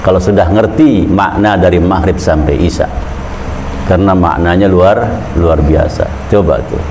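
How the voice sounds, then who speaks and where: A man's voice: moderate pace, a little mellow, a little hiss or hum. A man giving an informal Islamic sermon in a conversational storytelling way, his voice climbing when he urges the listeners. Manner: conversational storytelling preaching, pitch rising when urging. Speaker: preacher.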